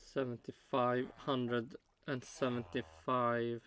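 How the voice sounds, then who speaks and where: A man hosting a casual reaction video, talking haltingly with many fillers as he counts aloud. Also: mid-range voice, level tone, fairly bright tone, clean tone. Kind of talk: casual commentary while watching.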